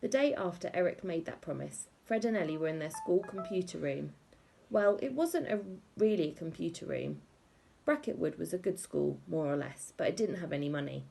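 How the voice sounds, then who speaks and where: A woman narrating a children's book aloud in an expressive scripted storytelling style. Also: on the low side, normal speed, gravelly, a little hiss or hum. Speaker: audiobook reader.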